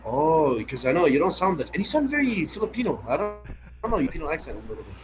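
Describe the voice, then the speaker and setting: A man in a casual talk who speaks quickly, breaks off mid-word and hunts for the right phrase. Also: on the high side, big pitch swings, music in the background. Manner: fast, gropes for words, trails off. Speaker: conversation partner. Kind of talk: casual conversation about religion.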